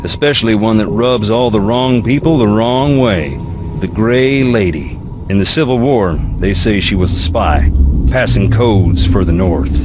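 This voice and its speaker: Over clear background noise comes a brooding Southern US young man from an adventure game. His voice sits low, with a folksy drawl. He muses philosophically with dry, wry wit. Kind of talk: video game character dialogue.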